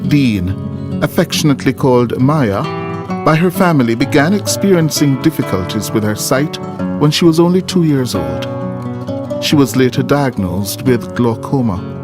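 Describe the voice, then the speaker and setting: Male documentary narrator, mid-pitched, strong plucked-guitar music bed. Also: expressive, normal speed. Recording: prominent music bed behind voice.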